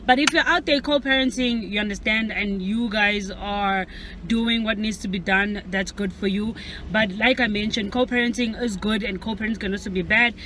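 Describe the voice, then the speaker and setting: A man's voice: high voice, steady tone, moderate pace, sharp tone. A South African man hosting a casual debate, rambling and often asking "you understand?". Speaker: host. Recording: noisy street traffic in background.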